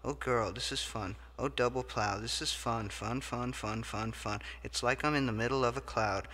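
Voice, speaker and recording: A man's voice: mid-range voice, fairly even intonation, moderate pace, bright, forceful tone. A man voicing a childish, playful character who whines, squeals with glee and keeps breaking into coughs. He is heard in an echoey hall. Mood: gleeful. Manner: gleeful, whiny, broken by coughs.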